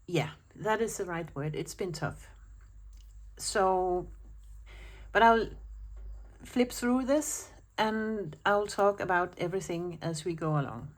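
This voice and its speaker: A woman's voice: fairly low pitch, slow. A woman from Denmark speaking English with a Danish accent, chatting casually at home about her planner, with many fillers and restarts. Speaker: planner vlogger. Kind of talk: casual chat to camera.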